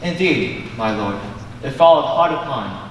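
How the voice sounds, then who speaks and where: A young man plays an earnest, formal courtier in Shakespearean style. His grand declamation is highly animated. It carries from a distance through a very echoey auditorium with faint noise. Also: a little slow, natural voice. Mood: formal, deferential. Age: young adult. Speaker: student stage actor. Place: large indoor school auditorium, on stage.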